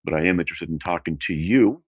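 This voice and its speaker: A middle-aged man hosting a radio call-in show, talking off the cuff in a casual, halting, meandering way with a gravelly, very deep voice. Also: no echo. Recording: dull, muffled lo-fi radio audio.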